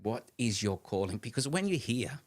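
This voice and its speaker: A man in his late fifties co-hosting a casual conversation, talking in an animated, emphatic way with frequent restarts and the odd casual swear word. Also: mid-range voice, normal speed. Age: 50s. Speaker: co-host of a live conversation show.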